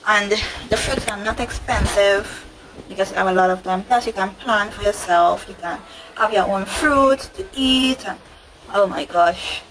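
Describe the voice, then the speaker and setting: A woman's voice: mid-range voice, measured pace. A young woman with a Trinidadian accent, answering viewer questions in a casual, chatty vlog and repeating herself often. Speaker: vlogger. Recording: noisy home recording.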